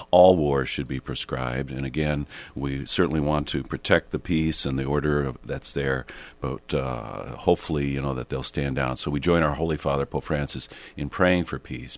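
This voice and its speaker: A man with an American accent and a gravelly voice, talking reflectively and haltingly as a bishop hosting a radio show. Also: very deep voice, steady tone. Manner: halting, meandering with frequent hesitations. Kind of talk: reflective, conversational radio talk.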